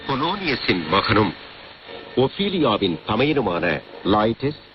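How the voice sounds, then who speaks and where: Theatrical man announcing, lively pitch, muffled radio. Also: slow pace. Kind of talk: theatrical radio play introduction. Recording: muffled archive radio drama.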